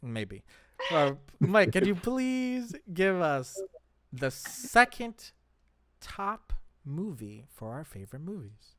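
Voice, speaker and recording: Playful male host, clear and dry sound. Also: fairly high pitch, sing-song, slow, fairly bright tone, dry recording. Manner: playful, teasing. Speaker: host of a gaming show. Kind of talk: casual chat.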